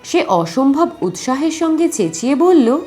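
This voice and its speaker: Woman, story narrator, highish voice, animated intonation, expressive scripted reading, faint music behind. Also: normal speed.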